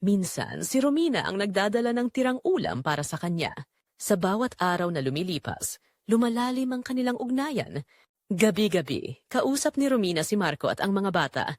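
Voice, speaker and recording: A Filipino woman tells a scripted dramatic story in a mid-pitched voice. Her intonation moves widely from phrase to phrase. The sound is dry, with hardly any room on it. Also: a little mellow, dry recording. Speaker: storyteller and channel narrator.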